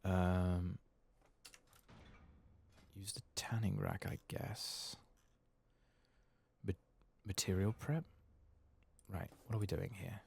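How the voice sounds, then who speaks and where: A man softly narrating a video game playthrough in ASMR style, murmuring and musing hesitantly to himself as he plays. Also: low-pitched, fairly even intonation, takes it slowly, creaky, pauses often, husky. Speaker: gaming channel host. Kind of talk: soft-spoken ASMR game playthrough commentary.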